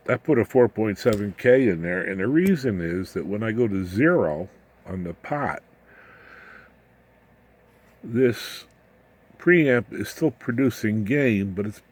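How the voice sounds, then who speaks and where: Male, low-pitched hobbyist explainer; folksy, slangy, informal technical talk that rambles. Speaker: electronics hobbyist presenter.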